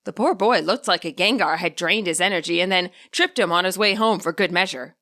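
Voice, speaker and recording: A woman's voice: lowish voice, fairly quick, pushed and bright. A woman reading her own fan fiction aloud as a scripted story narration.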